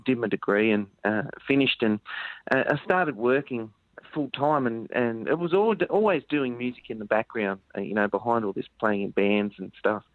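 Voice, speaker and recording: A man's voice: mid-range voice, normal speed, no echo. An Australian man from Sydney calls in as a musician guest and talks in loose, meandering bursts, often stalling on ums and restarts. His voice sits mid-range for a man. It comes through a narrow, tinny phone line with a dry sound. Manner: rambling, hesitant, lots of ums. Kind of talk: phone-in radio interview.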